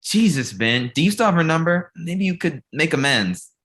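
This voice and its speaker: Blunt, exasperated male talent manager, played by an actor, medium pitch with very animated delivery, comedy script. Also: normal speed, close to an everyday voice. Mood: pressing. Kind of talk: comedy table read.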